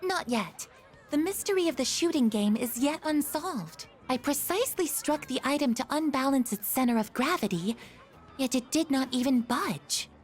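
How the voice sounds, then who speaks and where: A high, clearly youthful female android from a video game. She is earnest and curious, choosing stiff, precise words with literal politeness over a faint music bed. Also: expressive, moderate pace. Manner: formal, stilted, precise wording.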